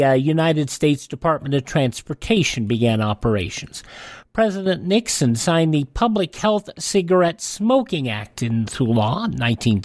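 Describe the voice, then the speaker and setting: A man's voice: a little high, a little mellow, no room sound, studio quality. A man hosting a radio show, reading a scripted rundown of historical events.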